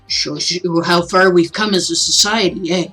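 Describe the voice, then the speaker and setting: Lady doing an older guy mayor in a visual novel, folksy speechmaker, jokey n encouraging, bit husky. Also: on the low side, normal speed, a bit bright, soft background music. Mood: folksy, joking. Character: affable older male mayor giving a folksy, rousing speech.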